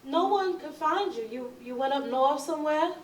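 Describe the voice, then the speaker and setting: A woman's voice: sits high, animated, normal speed. A woman playing a guarded, wistful, unhappy forty-year-old in a readers theatre drama, speaking hesitantly in folksy phrases. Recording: roomy, distant, noisy recording.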